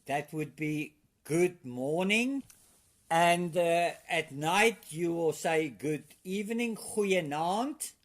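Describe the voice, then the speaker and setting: A middle-aged South African man with an Afrikaans accent, a guest in a casual interview, talking haltingly with many fillers and restarts. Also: on the high side.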